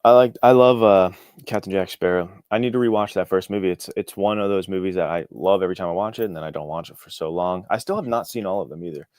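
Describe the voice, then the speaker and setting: A man with a low voice speaks as a guest in a relaxed interview. His talk is informal and keen, and the sound is dry and close. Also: quick pace, few pauses, no echo. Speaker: guest, indie author. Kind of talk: casual interview chat.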